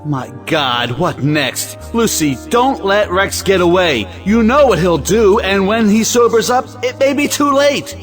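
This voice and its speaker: A hot-tempered patriarchal brother , acted by a man, lays down the law in a stage drama. His fairly high voice swings widely in pitch as he blusters and commands, with faint music behind him. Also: animated, unhurried, few pauses, a bit bright. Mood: angry. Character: domineering, hot-tempered moralizing older brother. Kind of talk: audio play acting of an old stage drama.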